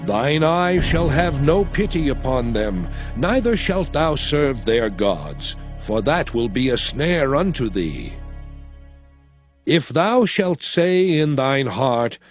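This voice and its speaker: A man's voice: mid-range voice, big pitch swings, takes it slowly, soft background music. A man playing a stern aged lawgiver who solemnly declaims commands to his people in a dramatized scripture reading.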